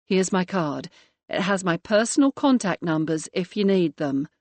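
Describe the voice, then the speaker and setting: A woman voicing a brisk, firm female police detective who speaks crisply and with authority. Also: fairly low pitch, expressive, a little mellow, no room sound.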